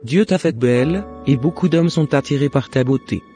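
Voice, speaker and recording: Mellow, pious motherly counsellor, acted by a man. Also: medium pitch, faint music behind, close to an everyday voice. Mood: encouraging. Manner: didactic, measured. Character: wise motherly older woman counsellor, calm and pious. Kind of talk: scripted animated drama, preachy counsel.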